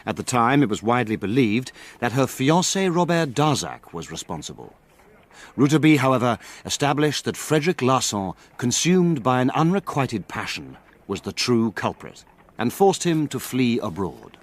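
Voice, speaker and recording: An actor playing an urbane gentleman who narrates his friend's cases in a radio drama, measured and literary. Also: mid-range voice, sing-song. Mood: reflective.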